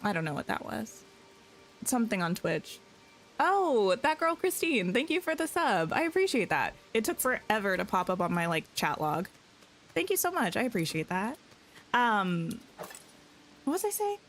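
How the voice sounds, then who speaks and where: Female game streamer, casual and chatty with her chat, slightly scratchy voice, mid-range pitch. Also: very animated, normal speed. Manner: chatty, greets and answers viewers, thinks aloud. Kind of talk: casual live-stream chat while playing.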